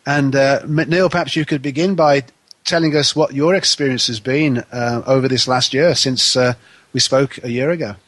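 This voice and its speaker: A man hosting a spiritual talk radio show, a little nasal from a head cold, with gentle hesitant phrasing. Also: mid-range voice, steady tone, moderate pace, no echo.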